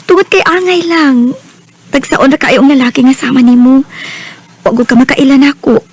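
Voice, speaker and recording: An actress playing a shy, grateful young provincial woman in a radio drama, with a Cebuano Filipino accent and a hesitant, expressive delivery. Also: high voice, normal speed, dry recording, lightly acted.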